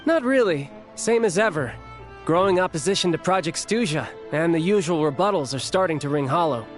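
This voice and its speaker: Young male officer played by an actor, video game: easygoing, earnest, higher register, light music underneath. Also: expressive, fairly quick, lightly acted. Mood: friendly, casual. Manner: easygoing, conversational, candid. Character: breezy, confident young male military officer, earnest and loyal.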